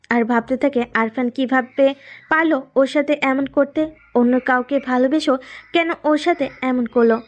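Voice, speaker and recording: A woman reading scripted narration for a romantic story as its storyteller. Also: high-pitched.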